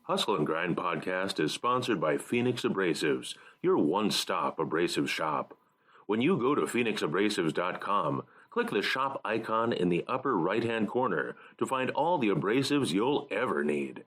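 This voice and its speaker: A man reading a scripted sponsor message as voiceover announcer in a measured way. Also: sits low, sing-song, fairly bright tone.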